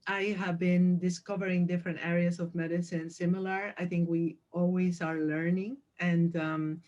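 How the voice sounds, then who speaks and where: A woman from Peru who is a sleep physician, speaking over a video call in a gracious, measured way. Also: on the low side, little pitch movement, a little mellow, lo-fi sound.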